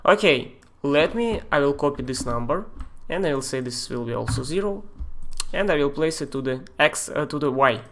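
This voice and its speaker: A man with a Russian accent and Russian as his first language, teaching a live-streamed screen tutorial in a halting way, with fillers and non-native grammar. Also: fairly high pitch, expressive, a bit bright, a little hiss or hum. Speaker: software tutor.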